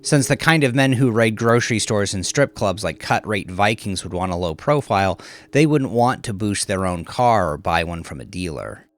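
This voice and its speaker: A man narrating crime fiction in a measured, dramatic storytelling manner. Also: on the low side, animated. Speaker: audiobook narrator.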